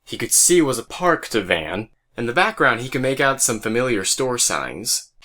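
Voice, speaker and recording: A man's voice: mid-range voice, very animated, normal speed, pushed and bright. A man narrating a scripted story as the narrator of an audio drama. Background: music.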